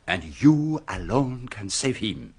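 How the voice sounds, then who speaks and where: An actor in a radio drama playing a shrewd, courteous detective, formal, deliberate and slyly knowing. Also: male voice, lowish voice, very animated, a little mellow, a little hiss or hum. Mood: probing, firm. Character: shrewd, courteous, self-satisfied Belgian detective.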